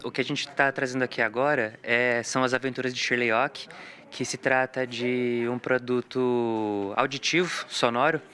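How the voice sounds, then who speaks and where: A man's voice: medium pitch, steady tone, normal speed, bright, forceful tone. A Brazilian man explaining a project in an interview as one of its creators.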